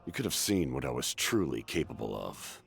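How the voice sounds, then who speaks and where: An actor playing a weary, proud ancient warrior in a video game, with a very deep gravelly voice and a solemn, measured, formal delivery. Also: male voice, big pitch swings, a bit bright, heavily acted. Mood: wistful. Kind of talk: dramatic game voice acting. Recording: game music behind.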